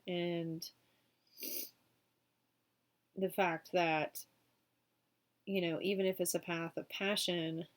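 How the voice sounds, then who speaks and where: A woman's voice: on the low side, little pitch movement, takes it slowly, long pauses. A woman with a cold doing a casual weekly tarot reading, chatty and full of fillers. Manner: chatty, many fillers like um and you know. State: sick, sniffling. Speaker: tarot reader.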